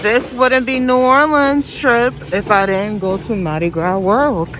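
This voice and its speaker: A woman's voice: a little high, fairly even intonation, measured pace, noisy background. A woman giving casual travel vlog commentary in a blunt, slangy, profane way. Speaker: travel vlogger and podcast host.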